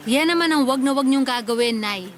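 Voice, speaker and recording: Woman acting a high-voiced, dutiful elder sister. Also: a little fast, lightly acted. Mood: firm. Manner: polite but firm. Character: young working daughter, dutiful, exasperated, firm elder sister. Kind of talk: radio drama acting.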